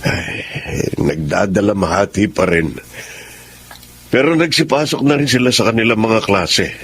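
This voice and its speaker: Deep-voiced, worried Filipino drama father. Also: lively intonation, unhurried, fairly bright tone, slight background noise. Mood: concerned, subdued. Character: worried, grieving father and busy lawyer. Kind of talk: Filipino radio drama acting.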